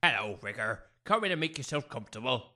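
An actor playing a defiant working-class village husband with an English accent, grumbling in colloquial speech in a comic audio drama. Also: male voice, medium pitch, sing-song, fast talker, pushed and bright, heavily acted. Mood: grudging.

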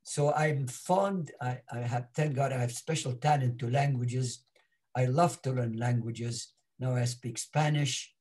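A man in his eighties with an Iraqi Arabic accent, whose first language is Arabic, earnestly telling his life story on a video call with halting restarts. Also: mid-range voice, a little mellow, breathy edge. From Iraq. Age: 80s. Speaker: interview guest. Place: home, in front of a virtual backyard background.

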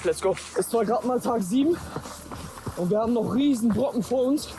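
A man with a very high-pitched voice talks into a camera he carries along an outdoor trail. He stays upbeat while on the move. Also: lively intonation, moderate pace. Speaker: long-distance runner vlogging his trek. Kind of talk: vlog narration while walking.

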